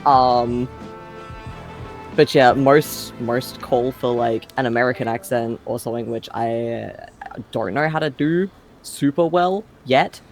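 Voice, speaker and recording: A man with an Australian accent speaks slowly and at an easy pace, poking fun at himself and laughing easily. He chats casually as a podcast guest over faint background music. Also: medium pitch. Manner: unhurried, self-deprecating, laughs easily. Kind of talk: casual chat.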